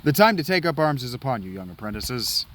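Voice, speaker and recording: A man's voice: medium pitch, very animated, fairly quick, a bit bright. A man voicing a stern male knight commander, speaking formally and declaiming orders. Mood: commanding.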